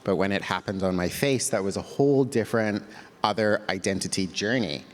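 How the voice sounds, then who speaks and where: A man with a fairly low voice speaks as a panelist, thoughtful and unhurried. A long reverb trails his words, as in a large hall. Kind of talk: panel discussion.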